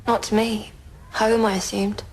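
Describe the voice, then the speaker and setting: A woman's voice: mid-range voice, level tone, measured pace, darkish tone, slight background noise, natural voice, rough recording. An actress with an English accent playing a guarded, loyal teenage schoolgirl, reluctant as she answers questions in an audio drama. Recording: faint background ambience.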